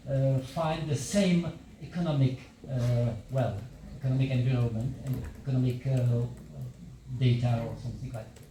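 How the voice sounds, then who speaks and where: A man's voice: mid-range voice, dark tone. Man, non-native speaker, university lecture, hesitant, lots of fillers, heavy hall echo, faint background noise. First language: not English. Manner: hesitant, many fillers and restarts. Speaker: visiting lecturer. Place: large echoing lecture hall. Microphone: handheld mic at some distance. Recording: echoey hall, distant mic, faint room noise.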